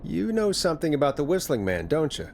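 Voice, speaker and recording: An actor playing a radio DJ in a horror game, measured, dry and probing as he questions a caller. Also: on the high side, huge pitch swings, gravelly, slight background noise, heavily acted. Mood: concerned, probing. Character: middle-aged radio DJ calmly probing a dark mystery. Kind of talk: dramatic video game voice acting.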